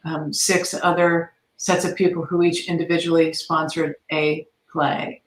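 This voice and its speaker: A woman's voice: deep voice, flat delivery, measured pace, a little hiss or hum. A woman giving enthusiastic, conversational answers as a guest in an interview. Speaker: guest, theatre arts advocate. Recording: roomy, echoey sound.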